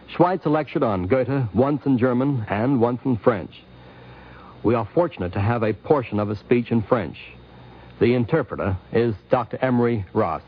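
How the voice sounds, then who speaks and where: An American man reading scripted documentary narration in a formal 1950s radio style. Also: medium pitch. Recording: dull 1950s radio recording.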